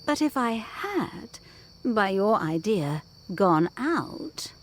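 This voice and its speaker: A woman playing an anxious, probing young governess in a gothic audio drama, earnest, measured and intense. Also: medium pitch, big pitch swings, heavily acted. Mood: wary questioning.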